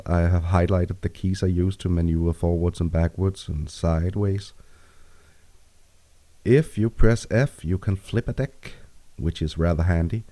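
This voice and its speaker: A man from Denmark with a Danish accent, calmly explaining a software tutorial step by step. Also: deep voice. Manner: calm, steady step-by-step explaining. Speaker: tutorial maker. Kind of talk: screen-recorded software tutorial.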